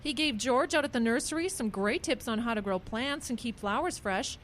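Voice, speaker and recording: A woman's voice: a little high, animated, moderate pace, a bit bright, slight background noise. A woman playing a kindly, practical small-town pastor in a radio drama. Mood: chatty.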